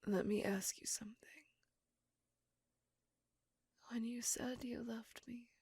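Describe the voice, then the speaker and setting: A woman voicing a lovesick, possessive vampire in an intimate, dramatic ASMR roleplay, breathy and mostly whispered. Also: fairly high pitch, steady tone, a little mellow. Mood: wounded, questioning. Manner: intimate, drawn-out, dramatic delivery. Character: lovesick, possessive female vampire lover, melancholy and seductive.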